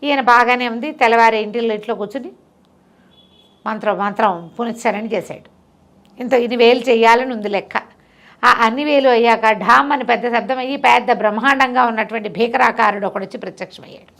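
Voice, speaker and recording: A woman storyteller with a fairly high voice and a noticeable creak. She tells bedtime folk tales in a chatty, conversational way, spelling out details as she goes. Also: fairly even intonation, a little fast, a bit bright. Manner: chatty, explanatory, listing details with repetition.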